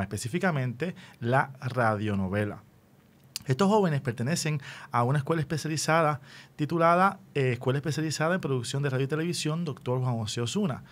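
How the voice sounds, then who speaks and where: Man, Puerto Rican accent, medium pitch, radio interview host, formal welcoming address. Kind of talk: radio show introduction and interview.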